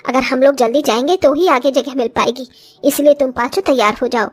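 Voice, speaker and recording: A woman voicing a short older village mother-in-law in a cartoon story, complaining and exclamatory but grudgingly soft-hearted. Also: high voice, a little fast. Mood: warm. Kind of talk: Hindi cartoon story voice acting.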